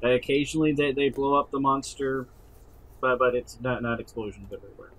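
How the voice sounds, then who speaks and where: A man co-hosting a casual chat, halting and repeating words as he makes his point. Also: mid-range voice, fairly bright tone, poor audio. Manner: halting, stammering repetitions. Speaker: podcast co-host.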